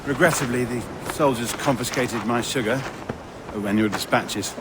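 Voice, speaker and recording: A man acts out a mild-mannered English merchant from a video game. He is genteel, naive and eager, with a hesitant politeness. There is clear ambient noise around the voice. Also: medium pitch, moderate pace, natural voice. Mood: polite, rueful. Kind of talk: video game dialogue acting.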